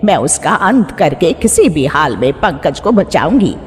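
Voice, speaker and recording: A woman's voice: sits low, sing-song, normal speed, a little hiss or hum. A woman voicing a solemn, commanding sorceress in a cartoon, with a long reverb on the recording. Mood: commanding, resolute. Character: commanding sorceress aunt chanting spells in a cartoon. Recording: long reverb, music behind.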